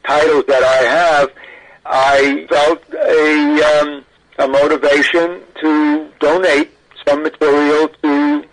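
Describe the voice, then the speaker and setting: A man's voice: fairly high pitch, fairly bright tone, poor audio. An older American man telling his story conversationally as an interview guest, stressing points emphatically. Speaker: interview guest, audio archivist.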